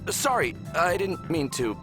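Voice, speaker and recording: An actor playing a young, smitten and easily flustered spaceship pilot in a visual novel game, expressive and a little awkward. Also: male voice, on the high side, a little slow, over music.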